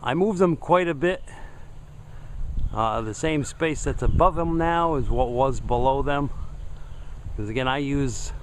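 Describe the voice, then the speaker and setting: A man's voice: mid-range voice, a little slow, long pauses, a little hiss or hum. A man giving a casual hands-on demonstration as a hobbyist host, unscripted and thinking aloud as he goes. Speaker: hobbyist video host testing radio antennas.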